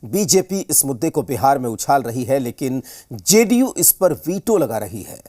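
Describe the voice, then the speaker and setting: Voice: medium pitch, very animated, normal speed, warm tone. A news anchor presenting scripted political news.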